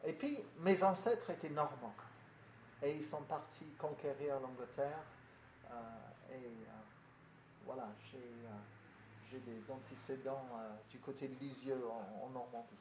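An older British-accented man speaks to a gathered group as their guest pastor, halting often with fillers and restarts. His voice sits in a middle register. It carries in an echoey room over a faint hiss. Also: mid-range voice, darkish tone. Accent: British English. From Britain. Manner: hesitant, many fillers and restarts. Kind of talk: casual address to a group.

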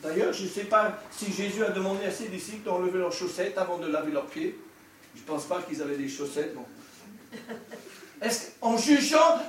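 An older man from Scotland, preaching a humorous, animated sermon with asides. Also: sits high, a little hiss or hum. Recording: echoey hall, distant mic.

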